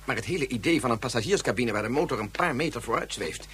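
A man's voice: mid-range voice, expressive, fast, fairly bright tone, slight background noise, lightly acted. An actor playing an earnest male physicist and father who talks in a thoughtful way. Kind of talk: radio play acting.

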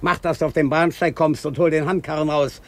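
An actor playing a brusque, world-weary railway stationmaster in a German radio drama, bossy and grumbling. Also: male voice, a little high, steady tone, a little fast, lightly acted. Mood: bossy, irritated.

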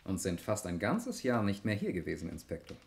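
A man playing a confident, logical, argumentative gentleman in a 1960s German radio drama. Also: on the low side, huge pitch swings, fairly quick, creaky, slight background noise, heavily acted. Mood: probing, confident.

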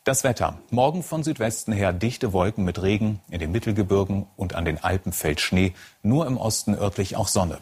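A man's voice: fairly low pitch, expressive, moderate pace, hardly any pauses, a little mellow, husky. A man with a standard German accent reading a formal, scripted news bulletin as an anchor.

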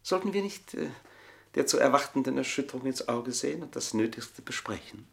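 An actor in a German radio play playing a scheming, gloating manservant with a pompous, mock-deferential, savouring delivery. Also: male voice, mid-range voice, animated, brisk, creaky. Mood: smug.

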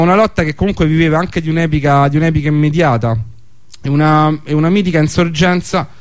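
A man in an unscripted radio discussion, speaking haltingly and circling back to restate his phrases. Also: a little high, no echo, studio quality.

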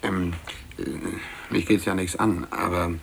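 A man's voice: deep voice, level tone, slow, vocal fry, background noise, exaggerated voice. A man acting a naive, well-meaning young office colleague in a 1950s German radio play, earnest and a little hesitant. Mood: hesitant, concerned. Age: young adult.

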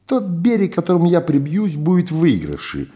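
A cocky young bandit pushes his way forward, brash and lively, as an older man puts him on. The voice sits higher than the reader's own and swings widely, with a mellow, dark tone underneath. It has a theatrical audiobook feel. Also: fairly quick. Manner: brash, pushy.

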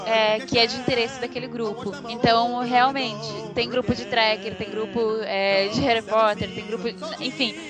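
A woman's voice: a little high, flat delivery, normal speed, few pauses, over music. A Brazilian woman talking as a guest in a casual podcast chat.

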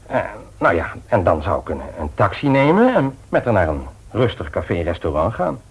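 A sensible married man in a radio play. His voice is deep and somewhat husky, and he reassures in a measured, matter-of-fact way. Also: wildly swooping pitch, heavily acted. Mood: reassuring.

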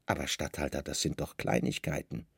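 A man's voice: very deep voice, steady tone, a little fast, gravelly, breathy edge, no echo, studio quality, pitched below the performer's own voice, full character voice. A man playing a stern Roman secret service commander in a radio play, coolly cunning, formal and deferential.

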